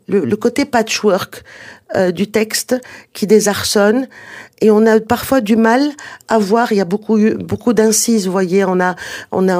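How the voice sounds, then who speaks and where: A native Arabic speaker of medium pitch giving explanations in an interview, hesitant and digressive, with emphatic points. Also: darkish tone. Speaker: interviewed author and researcher on early Islamic texts.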